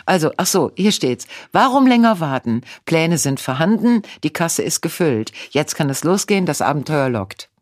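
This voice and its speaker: Low-voiced playful woman in her fifties. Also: big pitch swings, moderate pace, few pauses, no room sound, clean studio sound. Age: 50s. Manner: playful, laughs often, reads horoscopes aloud. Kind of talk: casual chat.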